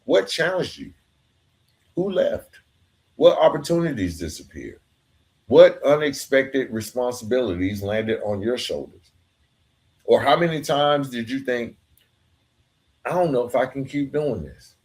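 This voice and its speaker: A man hosting a podcast, delivering a scripted motivational monologue in a deliberate, emphatic way, speaking straight to the listener. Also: medium pitch, expressive, darkish tone. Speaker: podcast host.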